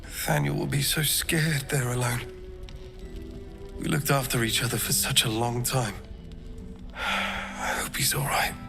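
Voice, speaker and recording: An actor playing a haunted, frail man in a fantasy game, with a halting, plaintive and anxious delivery. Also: medium pitch, gravelly, music in the background. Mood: worried, sad. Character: haunted, frail man worried for lost friend. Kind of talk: dramatic fantasy game acting.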